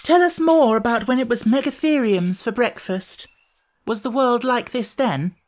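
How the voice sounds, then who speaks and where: An eager storybook child of Edwardian times, put on by a woman reader, pitched up high. The delivery is inquisitive and pleading, hungry for answers. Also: moderate pace, a little mellow, close to an everyday voice. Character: eager, curious Edwardian children begging for wishes. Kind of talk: children's audiobook character voices.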